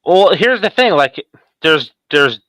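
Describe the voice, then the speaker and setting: A man's voice: on the high side, sing-song, takes it slowly, fairly bright tone, no echo. A man hosting a podcast, chatting casually about horror films. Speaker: podcast host.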